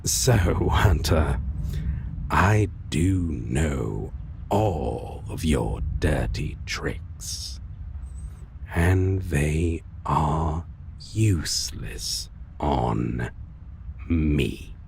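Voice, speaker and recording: A man voicing an arrogant, taunting vampire in a dark fantasy audio roleplay, savoring his words theatrically with sinister amusement. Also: animated, extremely slow, a little hiss or hum, full character voice. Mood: gloating, taunting. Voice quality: deep, gravelly, breathy at times. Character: taunting, arrogant male vampire lord, formerly a hunter.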